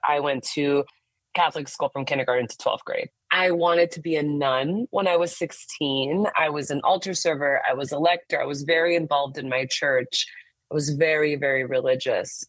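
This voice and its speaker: A woman in her thirties speaks in a low register, open and frank as she tells her own story. Her talk is casual, sprinkled with "like" as she goes. The sound is close and dry, with no room around it. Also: a bit bright, no echo. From United States. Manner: open and frank, frequent "like" fillers. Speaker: therapist and intimacy coach, podcast guest. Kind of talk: candid personal story in casual conversation.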